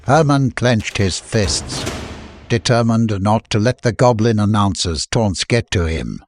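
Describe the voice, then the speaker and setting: A man's voice: lowish voice, expressive, unhurried, slight background noise. A man reading scripted fiction as a narrator, in dramatic audiobook style.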